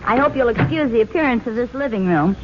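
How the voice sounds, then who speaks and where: A woman's voice: fairly high pitch, animated, quick pace, lightly acted. An actress playing a fussy but gracious American housewife and mother in a 1940s radio comedy, with a polite manner. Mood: gracious, apologetic. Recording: muffled noisy radio recording, studio audience noise.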